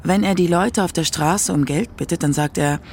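A man narrates a radio documentary from a script. His voice sits a little high and has a marked gravelly fry, with faint noise in the background. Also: moderate pace.

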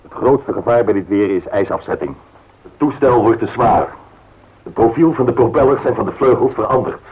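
An actor playing a calm, stern and confident senior expert with a husky voice in a radio drama. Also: male voice, medium pitch, normal speed, lightly acted. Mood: calm, explanatory. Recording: dull old radio play recording.

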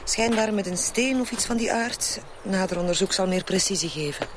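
Actor as high-voiced, clinical forensic doctor. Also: male voice, normal speed, slight background noise, natural voice. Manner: clinical, formal. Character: matter-of-fact forensic doctor at a crime scene. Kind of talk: naturalistic radio drama acting.

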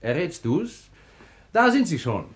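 A man's voice: fairly high pitch, very animated, measured pace. An actor playing a proud, magnanimous sultan with a fatherly streak, in a theatrical, authoritative radio play delivery. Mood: fatherly, generous. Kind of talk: classical German radio play acting.

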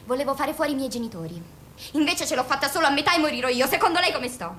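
A woman's voice: high voice, expressive, racing, a bit bright, a little hiss or hum. A woman acting in an Italian radio drama as a bitter, volatile young female patient, defiant and sarcastic, who swears and confronts. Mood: bitter sarcasm. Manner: sarcastic, confrontational, foul-mouthed.

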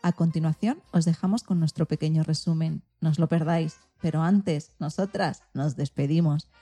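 Female co-host, Spain accent, scripted magazine presenting, soft mellow tone on the lower side. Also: normal speed, husky, soft background music. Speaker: radio programme co-host.

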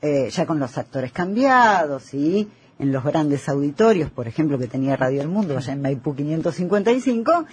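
A man's voice: fairly high pitch, big pitch swings, moderate pace, slightly rough. A man with an Argentine accent hosting a conversational radio interview. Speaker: radio host.

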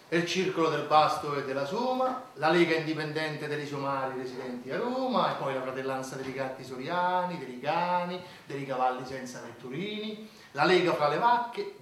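Animated Roman man reciting in an echoey hall. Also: on the high side. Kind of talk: theatrical verse storytelling. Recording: echoey hall, distant microphone.

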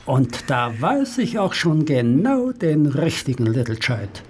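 An actor playing a confident, teasing police inspector in a radio play, with a husky voice and a persuasive manner. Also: male voice, on the high side, very animated, slow, a little hiss or hum. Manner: assured, persuasive.

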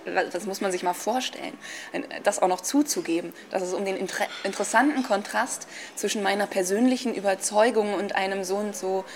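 A woman's voice: mid-range voice, fairly quick, a little hiss or hum. A woman giving an interview, stressing her points and repeating them for emphasis. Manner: emphatic, repeating herself for effect. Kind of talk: indignant spoken commentary.

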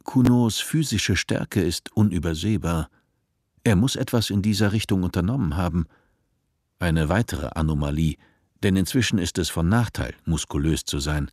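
Very deep, dry male narrator. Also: darkish tone, no room sound. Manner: detached, measured, matter-of-fact. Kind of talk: scripted radio play narration. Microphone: close studio microphone.

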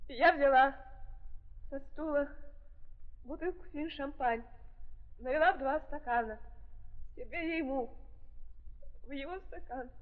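An actress playing a wronged young woman on trial in a 1930s stage drama, plaintive and defiant, speaking in simple halting phrases. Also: sits high. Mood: agitated. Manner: simple, halting, emotional testimony. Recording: muffled, echoing 1930s archive recording.

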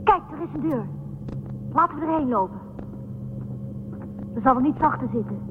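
A woman playing a bold, lively space crew member in a science fiction audio drama. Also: high-pitched, moderate pace, background noise. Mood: alert, curious. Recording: narrow lo-fi radio drama with music.

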